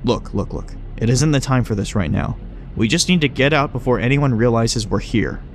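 A man's voice: mid-range voice, expressive, normal speed, a little hiss or hum, natural voice. Man playing a flippant, chummy monster in disguise. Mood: brisk, evasive. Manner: wry, breezy, teasing, coaching. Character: flippant, chummy man who is secretly a swarm of bugs. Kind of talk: horror audio drama dialogue.

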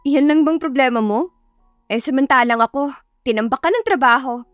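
A woman voicing a chatty, bashful young female designer in a story, animated and girlish, with a Filipino accent. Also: sits high, moderate pace, no echo, muffled recording, faint music behind, voice pushed up, close to an everyday voice. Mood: exasperated.

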